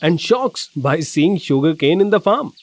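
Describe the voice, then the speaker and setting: A man's voice: sits high, lively intonation, moderate pace, no room sound. A man narrating a scripted moral story for children.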